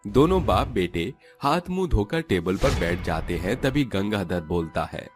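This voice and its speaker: Animated, lowish-voiced male storyteller. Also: moderate pace, music in the background. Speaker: narrator. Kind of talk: scripted storytelling narration.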